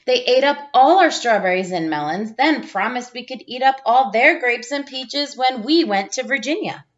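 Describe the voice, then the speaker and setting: A woman's voice: on the high side, animated, normal speed. Woman reading a storybook, slightly muffled. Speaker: teacher reading aloud to pupils. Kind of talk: storybook read-aloud.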